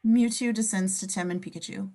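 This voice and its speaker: A woman with a mid-pitched voice reads stage directions aloud. It is scripted narration for an amateur table reading, describing each scene between the dialogue. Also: fairly even intonation, fairly quick, mellow, a little hiss or hum.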